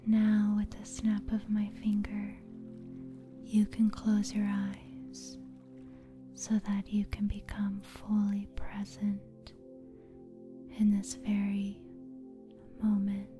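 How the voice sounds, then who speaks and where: ASMR host, female: hushed breathy whisper, very flat and soothing delivery, light music underneath. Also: medium pitch. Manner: slow, soothing, deliberate. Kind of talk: whispered soft-spoken ASMR, guided sleep meditation.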